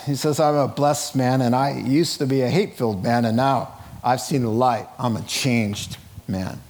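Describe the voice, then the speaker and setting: A man's voice: medium pitch, steady tone, moderate pace, darkish tone. A middle-aged man preaching a sermon in a conversational, informal way, animatedly telling stories and acting out dialogue. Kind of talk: sermon, reading scripture and retelling it in colloquial, dramatized asides.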